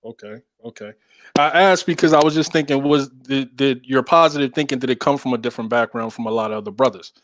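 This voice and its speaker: A man from Philadelphia in casual conversation with a friendly manner. His voice is mid-pitched and recorded dry and close, with no room echo. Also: fast, fairly bright tone. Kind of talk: casual chat.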